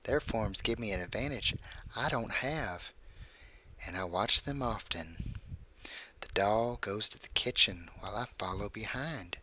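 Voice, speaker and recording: A man's voice: lowish voice, measured pace, bright, forceful tone, slight background noise, poor audio. A man reading a creepy story in the first person as a lonely, puzzled, incorporeal spirit, in a solemn literary monologue. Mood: watchful, intrigued.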